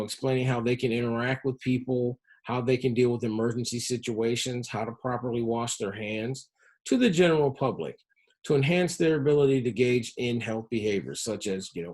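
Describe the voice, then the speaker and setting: Slightly husky male presenter, measured delivery. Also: medium pitch, a little mellow. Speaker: public health presenter. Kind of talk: educational presentation on health-focused game design.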